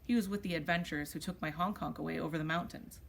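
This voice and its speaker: A woman voicing a gentle, cautious male hill troll in a children's storybook. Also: low voice, quick pace, gravelly, a little hiss or hum. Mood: concerned.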